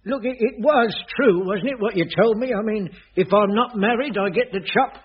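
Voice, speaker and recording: An actor playing a bumbling, tight-fisted middle-aged caretaker in a radio sitcom, sheepish and fumbling. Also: male voice, high voice, normal speed, slightly rough. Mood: worried. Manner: sheepish, fumbling, dim-witted. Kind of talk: 1980s radio sitcom acting. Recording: dull, hissy old radio broadcast.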